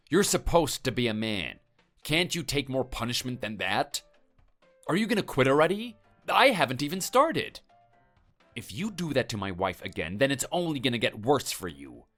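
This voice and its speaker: A man's voice: mid-range voice, big pitch swings, normal speed, a bit bright, faint music behind. A man playing a stern, menacing husband. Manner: threatening, lecturing. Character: stern, menacing husband defending his wife.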